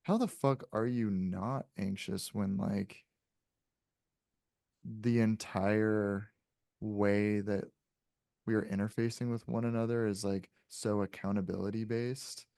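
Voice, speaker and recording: A man in his late twenties with a fairly low voice talks casually on a podcast. He is reflective and hedges his points, swearing now and then. The sound is close and very dry. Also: steady tone, slow, gravelly, mellow, no room sound. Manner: hedging, reflective, frequent swearing. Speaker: podcast guest.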